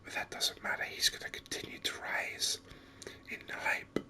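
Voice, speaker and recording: A person giving whispered, breathy ASMR commentary on a video game, speaking softly in an unhurried, explanatory way into a close microphone. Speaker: gaming video host.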